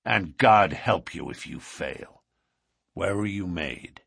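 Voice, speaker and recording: A big, menacing lodge boss from an audiobook, acted out by a man. He is blunt and threatening, with a husky, low-set voice that moves slowly and swings widely in pitch. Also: animated, a bit bright, no room sound, studio quality, full character voice. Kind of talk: audiobook character dialogue.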